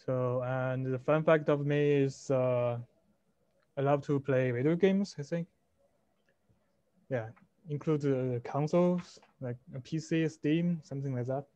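Chatty student from China, dull call audio. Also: male voice, mid-range voice, a little slow, dark tone, no echo. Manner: loose, self-correcting, many fillers. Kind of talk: self-introduction. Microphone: video call.

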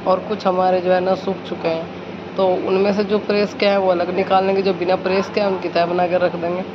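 Flat-toned village woman vlogger. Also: fairly low pitch, a little fast. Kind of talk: casual home vlog chat and narration. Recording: muffled phone audio with clear background noise.

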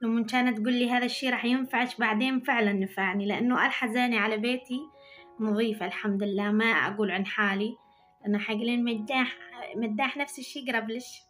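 A woman's voice: fairly high pitch, fairly even intonation, fast talker, a bit bright, faint music behind. A young woman with an Iraqi Arabic accent, chatting to her viewers while she cleans her home kitchen, recorded by a camera on the counter. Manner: chatty, talking to viewers while doing housework. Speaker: vlogger. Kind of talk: casual chat while cleaning.

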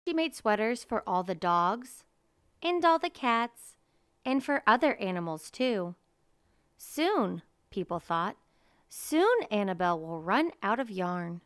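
Slow, clear-voiced woman storyteller. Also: medium pitch, very animated, dry recording, studio quality. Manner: measured, deliberate storytelling. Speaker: storybook reader. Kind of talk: children's picture book read-aloud.

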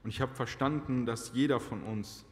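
A man in his thirties preaching a personal testimony sermon from a church pulpit, earnest and slowing down to stress key phrases. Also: medium pitch. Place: church sanctuary, large indoor hall. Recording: reverberant church hall.

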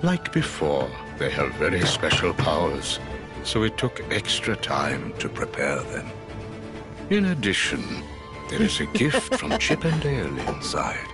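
An old, wise wizard master from a video game. His voice is extremely deep yet expressive, grave and formal, with music behind it. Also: heavily acted. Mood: grave, instructive. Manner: formal, measured, solemn. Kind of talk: video game cutscene voice acting.

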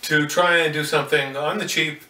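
A man's voice: fairly high pitch, steady tone, normal speed, pushed and bright. An older man giving a hands-on demonstration of telescope mounts, folksy and full of fillers and self-corrections. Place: indoor room in front of a curtain. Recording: roomy, slightly distant sound.

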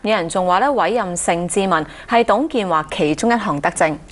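A young woman hosting a TV studio chat show, chatty and brisk. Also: on the low side. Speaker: TV host.

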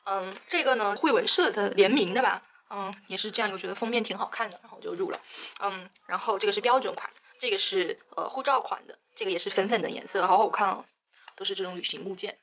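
A young woman talks quickly and eagerly through a stationery unboxing, full of little fillers and delighted asides. Her voice sits at a middling pitch. The recording sounds a bit dull and lo-fi. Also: a bit bright. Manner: chatty, enthusiastic, many fillers. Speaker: stationery vlogger. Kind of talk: casual unboxing narration.

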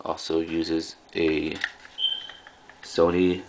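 A low-voiced man hosts a casual product showcase at home. His delivery is halting and offhand, broken into short phrases. The recording has clear background noise. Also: level tone. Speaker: video host, collector. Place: indoors in a room at home.

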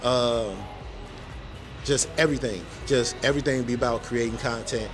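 A man's voice: mid-range voice, fairly even intonation, normal speed, background noise. A man hosting a vlog, chatting casually in a laid-back, joking, slangy way. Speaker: vlog host. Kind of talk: casual chat.

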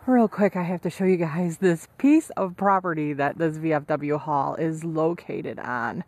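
A woman vlogger giving chatty, excited commentary to her viewers while out shopping at sales. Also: sits low, unhurried, no room sound. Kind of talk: casual vlog commentary.

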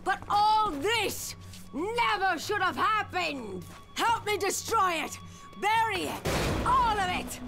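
A woman's voice: very high-pitched, animated, slow pace, music in the background, exaggerated voice. An actress playing a desperate, fatalistic mutated woman in a zombie game, speaking in a grave, dramatic, emphatic way. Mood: anguished, urgent. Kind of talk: video game cutscene acting.

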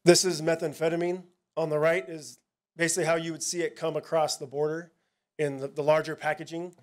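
A man with a slightly high voice speaks plainly to a town hall audience as a narcotics officer. He talks in a casual, halting way, stopping and restarting his sentences often. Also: little pitch movement. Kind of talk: presentation at a public town hall.

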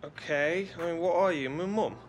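A man's voice: fairly high pitch, moderate pace, a little hiss or hum, lightly acted. A man playing a cheeky, easygoing young British footballer in a video game. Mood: bantering. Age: young adult. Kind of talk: video game cutscene dialogue.

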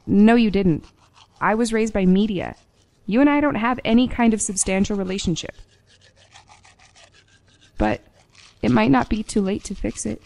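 A woman's voice: mid-range voice, normal speed, creaky, long pauses, a little mellow, lightly acted. Cool, defiant daughter played by a woman. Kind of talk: radio play dialogue.

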